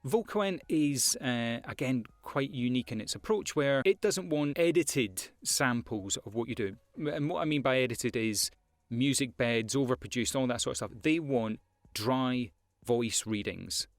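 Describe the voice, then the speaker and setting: A man's voice: mid-range voice, lively intonation, unhurried, no room sound. A Scottish man, a voiceover artist, talking casually to camera with career advice, with frequent fillers and repeated words. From Scotland.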